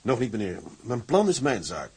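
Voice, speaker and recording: Stubborn tugboat captain, very raspy, mid-pitched, firm, formal, terse, drama. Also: male voice, big pitch swings, normal speed, a little mellow. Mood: determined. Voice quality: very husky, rough. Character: resolute, stubborn tugboat captain turned businessman.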